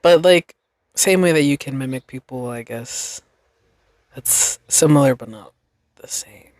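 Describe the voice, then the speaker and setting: A woman's voice: rumbling low, measured pace, darkish tone. A young woman hosting her own podcast, talking alone in a casual, reflective, rambling way with plenty of fillers. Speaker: podcast host. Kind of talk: casual solo podcast monologue.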